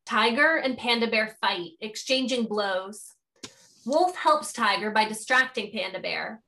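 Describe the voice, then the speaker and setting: A woman's voice: on the high side, a little slow, pushed and bright, low-quality recording. A woman narrator reading stage directions as scripted narration.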